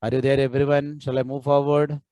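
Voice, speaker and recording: A man's voice: medium pitch, flat delivery, brisk, dark tone, dry recording. A man teaching a live online coding class, nudging his students to respond and repeating his prompts. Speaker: programming instructor.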